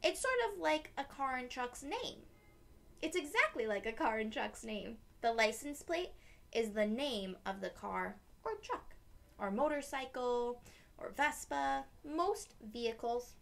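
Young woman, children's storytime host, slow pace, creaky edges, expressive, simple deliberate explanations. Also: on the high side. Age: young adult. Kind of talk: children's read-aloud storytelling. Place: small decorated room indoors.

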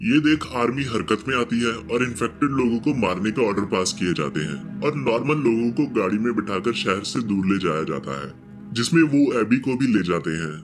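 Low-voiced man narrating over music. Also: animated, normal speed. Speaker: film recap narrator. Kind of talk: scripted plot narration, storytelling.

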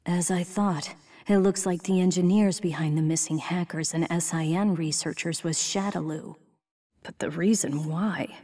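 An actress playing a focused female agent who narrates in a calm, matter-of-fact way in a game cutscene. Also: on the low side, normal speed, recorded from a distance, lightly acted. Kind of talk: video game voice acting.

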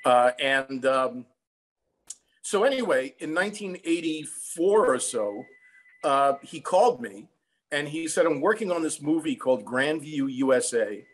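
A man's voice: fairly high pitch, lively intonation, measured pace, poor audio. A man in his sixties with an American accent, telling an anecdote matter-of-factly as an interview guest over a video call. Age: 60s. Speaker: songwriter guest. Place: room at home.

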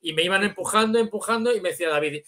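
A man's voice: sits high, racing, bright, forceful tone. A middle-aged man with a Spanish accent from Spain, chatting casually and telling anecdotes in colloquial language over a video call. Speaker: guest. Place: indoor room, on a video call.

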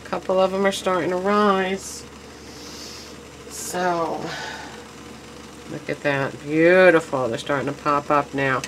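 A woman's voice: deep voice, steady tone, takes it slowly, pauses often, slight background noise, low-quality recording. A woman hosting a casual home cooking demonstration in her kitchen, chatty and enthusiastic, talking to her viewers as she works at the stove. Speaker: home cooking show host.

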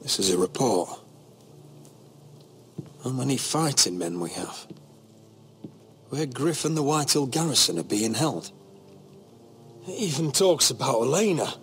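An actor playing a solemn, protective young lord in a fantasy video game, measured and serious. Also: male voice, medium pitch, very animated, fairly bright tone. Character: solemn, battle-scarred young lord, protective older brother. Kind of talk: dramatic video game acting. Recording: music behind the voice.

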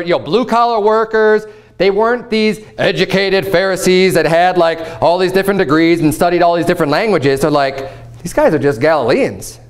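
Animated, fairly high-voiced man preaching. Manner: animated, gesturing, conversational preaching. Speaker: pastor. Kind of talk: sermon. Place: church platform before a painted backdrop.

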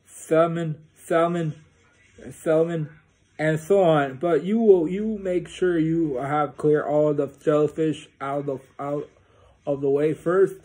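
An excitable storybook griffin, read aloud by a man, stumbling over its words and repeating phrases. The voice sits a little high with a soft, mellow tone. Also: takes it slowly, poor audio. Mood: eager. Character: excitable griffin, male creature.

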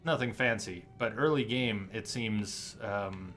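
A man's voice: on the low side, takes it slowly, fairly bright tone. A man in his thirties livestreaming a game indoors with casual commentary, musing aloud as he chats to viewers. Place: indoor streaming setup. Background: game music and ambience.

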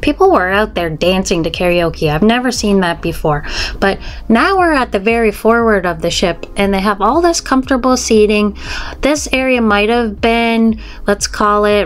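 A woman narrating a casual guided tour as a travel vlogger, chatting to viewers with tips and questions. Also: medium pitch.

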